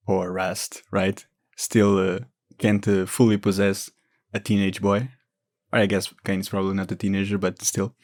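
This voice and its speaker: A man's voice: low voice, level tone, normal speed, a little mellow. A man giving informal, explanatory lore commentary as a gaming video creator.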